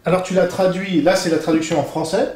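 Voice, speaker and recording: A man's voice: sits high, steady tone, fast, darkish tone. A man in his thirties asking informal interview questions with hesitant phrasing. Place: small room at a table, indoors. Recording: echoey room, distant mic, background noise.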